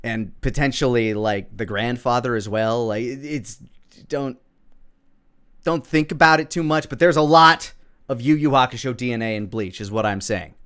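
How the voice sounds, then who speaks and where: A man's voice: mid-range voice, normal speed, a bit bright, clean tone. A man giving casual, self-critical commentary on his own video, thinking aloud as he goes.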